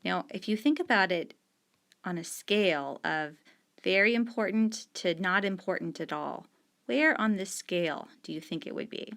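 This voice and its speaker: A woman's voice: lowish voice, normal speed, fairly bright tone. A middle-aged woman teacher patiently explaining discussion questions to students, stressing key words while she marks up the page with a pen. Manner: annotating on screen with a pen while talking.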